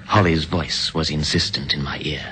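A determined man racing against the clock, brisk and impatient in talk and sober when he narrates. His voice sits very low. An old radio broadcast hiss runs clearly behind him. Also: steady tone. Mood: grave narration. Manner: brisk and impatient in dialogue, grave in first-person narration. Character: urgent, exasperated man racing to stop a bomb plot. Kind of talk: 1950s radio drama acting with narration.

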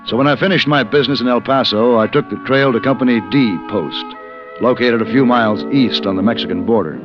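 An actor playing a suave, wry gentleman gunfighter with a measured, articulate delivery in an old radio western. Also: medium pitch, close to an everyday voice. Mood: measured, narrating. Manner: measured, dryly amused, articulate. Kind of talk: 1950s radio western acting with narration. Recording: muffled old radio recording.

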